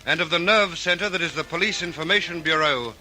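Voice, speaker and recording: A man's voice: fairly high pitch, fast. A man narrating a vintage police radio drama in a dramatic, portentous, scripted announcer style. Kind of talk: old-time radio crime narration, scripted and declamatory. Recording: vintage radio broadcast with music underneath. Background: dramatic music.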